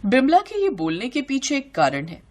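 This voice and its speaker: Animated female story narrator. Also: high voice, moderate pace.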